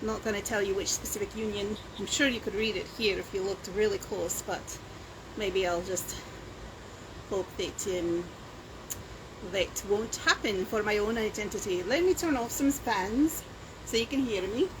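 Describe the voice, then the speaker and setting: A woman with a Scottish-sounding accent talks casually to a handheld camera at home. Her voice sits at a medium pitch, and she wanders through small self-deprecating asides. There is clear background noise behind her. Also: moderate pace. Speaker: home vlogger. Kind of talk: casual rambling chat. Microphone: handheld camera or phone.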